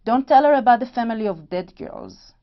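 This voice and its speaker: A woman's voice: a little high, lively intonation, fairly quick. An actress in a play reading, playing a worried, protective woman in an Israeli family, on a dull recording. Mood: tense.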